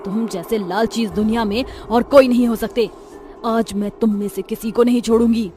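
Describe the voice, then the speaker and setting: Woman voicing a furious, loud, high-voiced vengeful witch. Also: fairly even intonation, normal speed, slight background noise, close to an everyday voice. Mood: furious, menacing. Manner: menacing, shouting threats. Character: vengeful angry female tree witch. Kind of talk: Hindi animated moral story.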